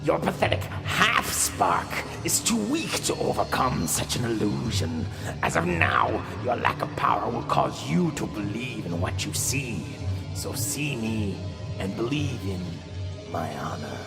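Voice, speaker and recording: A man's voice: mid-range voice, very animated, unhurried, gravelly, music in the background. A man playing a proud velociraptor robot warrior in a radio drama, with haughty, solemn declarations. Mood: commanding, defiant. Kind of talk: animated-series radio drama acting.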